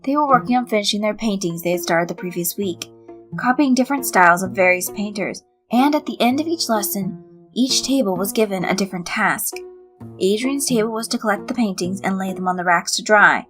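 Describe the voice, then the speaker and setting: A woman narrates a story in a bright, forward voice, reading from a script. Soft music plays underneath her. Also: medium pitch, brisk. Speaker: fan fiction reader. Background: background music.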